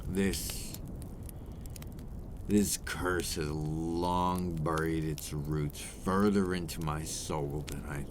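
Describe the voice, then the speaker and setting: A man's voice: rumbling low, noisy background, heavily acted. A man playing a brooding, possessive old vampire in an ASMR roleplay, speaking formally and theatrically and dropping into whispers.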